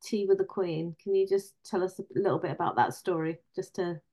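A woman's voice: fairly low pitch, fairly even intonation, fairly quick, warm tone. A woman interviewing someone in a casual, conversational style.

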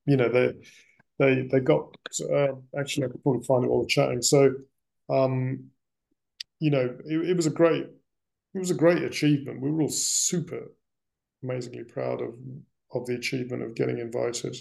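Middle aged guy, super creaky gravelly voice, chatting about family history, kinda halting and rambly. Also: medium pitch, steady tone, darkish tone, low-quality recording. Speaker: interviewee sharing family history. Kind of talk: reflective personal storytelling in casual conversation.

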